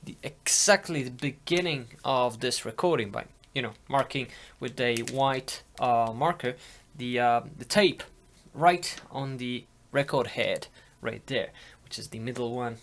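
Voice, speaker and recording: Man casually explaining, halting delivery. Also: mid-range voice. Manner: halting, thinking aloud. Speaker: audio hobbyist demonstrating tape machines. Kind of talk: casual hands-on tutorial.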